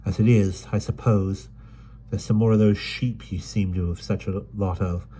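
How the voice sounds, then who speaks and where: A man's voice: fairly low pitch, fairly even intonation, normal speed, warm tone, slight background noise, natural voice. A man voicing a plucky, chatty London street girl with broad cockney-style dialect in an audiobook. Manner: bold, chatty, upbeat. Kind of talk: audiobook character voice.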